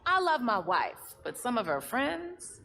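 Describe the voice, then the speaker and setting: An actress playing an earnest, well-meaning artist in a video game, candid and expressive. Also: female voice, fairly high pitch, unhurried, gravelly, a little hiss or hum, heavily acted. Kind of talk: video game cutscene acting.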